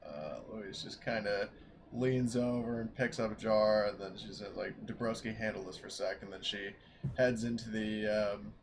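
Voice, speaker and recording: A man playing a tabletop roleplaying game, haltingly narrating what the character does and says in a casual way. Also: on the low side, steady tone. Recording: home recording with faint background noise.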